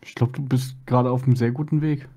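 A man's voice: mid-range voice, fairly even intonation, quick pace, warm tone. A man chatting casually as he advises someone playing a game. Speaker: co-streamer advising the player. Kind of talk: casual gameplay chat. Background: game music.

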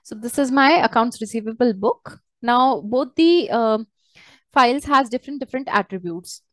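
A woman walking through a software tutorial step by step as a trainer, in a hesitant, halting way. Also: a little high, dry recording. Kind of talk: screen-share software tutorial, unscripted walkthrough.

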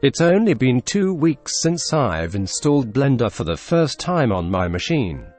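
Deadpan Russian-accented man, dry humor. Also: mid-range voice, a little slow, faint music behind. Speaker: tutorial narrator. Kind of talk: scripted screen-recorded tutorial voice-over.

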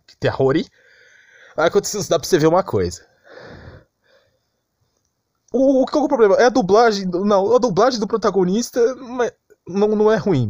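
A man's voice: fairly high pitch, a little mellow, dry recording, studio quality. A young Brazilian man giving a casual, slangy, unscripted rant in an exasperated, complaining way. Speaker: anime commentary vlogger. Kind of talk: casual unscripted ranting commentary.

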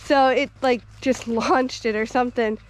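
A woman's voice: fairly high pitch, fairly even intonation, normal speed. A young woman chatting easily to a handheld camera while walking outdoors by woods and fields, explaining things as she goes. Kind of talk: casual vlog narration. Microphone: handheld camera at arm's length.

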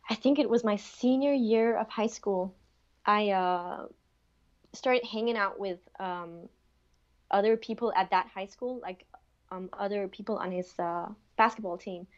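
A woman's voice: mid-range voice, muffled recording. A young woman from Denmark, a podcast guest, chatting casually at home with many "like" and "um" fillers over an earphone mic on a video call. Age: young adult. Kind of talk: casual interview chat. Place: bedroom at home.

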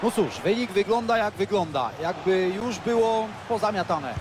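A man doing play-by-play commentary for a football video game, lively and bursting out on goals. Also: high-pitched, normal speed, noisy background. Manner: excitable, erupts on goals. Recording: loud stadium crowd behind.

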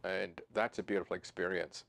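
A man in his sixties hosting a fly fishing channel, talking to camera in a relaxed, conversational way with frequent fillers and restarts, seated in a home office. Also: sits low, brisk, vocal fry. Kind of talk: to-camera instructional talk. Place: home office with computer screens, indoors.

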